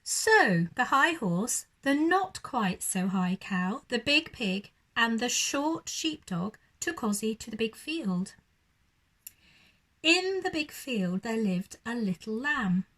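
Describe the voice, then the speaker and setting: A woman reads a storybook to young children at a slow pace. Her fairly high voice moves up and down expressively, in the manner of a primary teacher at storytime. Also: very animated, fairly bright tone. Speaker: primary school teacher reading a bedtime story.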